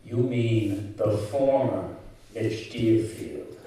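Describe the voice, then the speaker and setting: Man playing a sly, slow sinister mentor. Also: lowish voice, dark tone, slight background noise. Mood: sly, goading. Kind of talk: live old-time radio acting. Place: live stage show in a community hall. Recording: echoey hall, distant mic.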